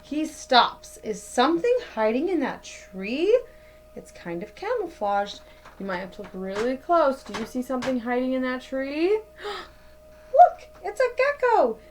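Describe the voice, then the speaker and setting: A woman in her thirties reading a picture book aloud to children like a teacher, with deliberate, dramatic emphasis. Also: sits high, sing-song, slight background noise. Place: small indoor room at home.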